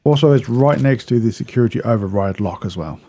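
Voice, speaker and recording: A man's voice: medium pitch, expressive, brisk, mellow. A man narrating a video game walkthrough in a casual, instructional way, explaining step by step and often repeating or correcting himself.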